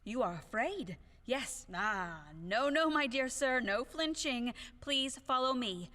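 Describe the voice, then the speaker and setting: A high female voice, slow and widely swooping, echoes with long hall reverb. It is a woman acting a proud, fiery lady in an audio play, imperious and melodramatic, her indignation always on the edge of wavering. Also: expressive, bright, forceful tone, heavily acted. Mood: scornful, challenging. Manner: imperious, melodramatic, contradicting herself.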